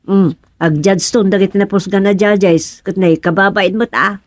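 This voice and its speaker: A woman's voice: mid-range voice, fairly even intonation, moderate pace, dry recording, lightly acted. A woman with an Ilocano accent playing an obliging village neighbour in a radio comedy drama. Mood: helpful, matter-of-fact. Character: helpful, cooperative village woman in a community clean-up.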